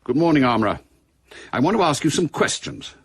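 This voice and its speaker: Radio drama: middle-aged British intelligence boss, authoritative, terse, rough-edged voice, very varied intonation. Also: male voice, medium pitch, big pitch swings, exaggerated voice. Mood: commanding. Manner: brisk, authoritative, clipped. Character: stern, clipped middle-aged male intelligence service chief.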